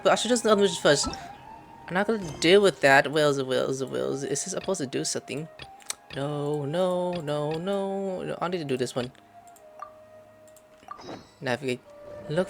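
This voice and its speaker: A woman's voice: low voice, lively intonation, normal speed, pauses often, soft background music. A woman hosting a gaming video with casual, rambling commentary and exclamations, prone to swearing. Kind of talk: casual let's play commentary.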